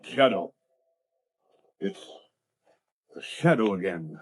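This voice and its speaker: Animated, clipped police commissioner. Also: male voice, sits low, slow, a little hiss or hum, exaggerated voice. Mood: astonished. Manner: barking orders, clipped. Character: brisk, authoritative police commissioner, startled and puzzled. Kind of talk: 1930s radio drama acting. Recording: vintage 1930s radio broadcast.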